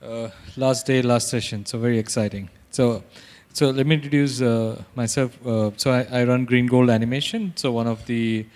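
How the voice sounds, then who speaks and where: Halting man from India speaking on a panel. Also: medium pitch, fairly even intonation, a little mellow. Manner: hesitant, many fillers and restarts. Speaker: panelist, company founder. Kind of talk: conference panel talk. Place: conference hall. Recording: reverberant hall.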